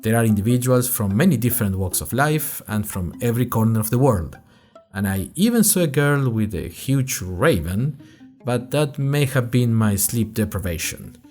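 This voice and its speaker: A man's voice: medium pitch, animated, normal speed, darkish tone, soft background music, natural voice. A man playing an anxious young college freshman who narrates his podcast in a wry, rambling, self-conscious monologue. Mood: wry, musing. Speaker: narrator and host of a fiction podcast. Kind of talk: scripted first-person audio drama monologue.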